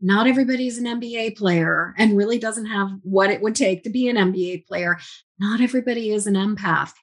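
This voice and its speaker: A woman hosting a podcast interview, asking questions with enthusiasm and affirming her guest with frequent murmurs of agreement. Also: medium pitch. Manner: enthusiastic, affirming, many mm-hmms. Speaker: podcast host. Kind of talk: casual interview conversation.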